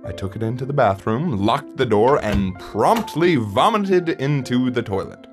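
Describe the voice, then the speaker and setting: Music plays clearly beneath a man acting as a wistful father in an audio play. He tells a dark memory to his child at a slow pace and a middle pitch. The delivery is reflective and conversational, broken by dramatic pauses. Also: expressive, natural voice. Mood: wry, reminiscing. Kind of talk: first-person dramatic monologue, storytelling. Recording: music under the voice.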